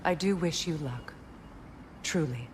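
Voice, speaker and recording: An actress playing a serious, cautionary guardian in a fantasy video game, sober and measured in her warnings. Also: low-pitched, noisy background. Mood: sincere. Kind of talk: dramatic video game acting.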